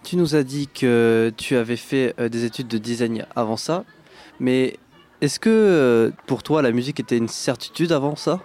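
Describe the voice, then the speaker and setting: A man's voice: medium pitch, moderate pace, darkish tone. A man interviewing a guest on the radio, asking informal questions with some hesitation.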